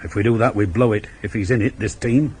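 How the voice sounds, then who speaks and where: An actor playing a shrewd, dogged police inspector in a radio crime drama, with a terse, probing, ruminative manner. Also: male voice, on the low side, fast, darkish tone, a little hiss or hum, lightly acted. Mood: brooding, decisive.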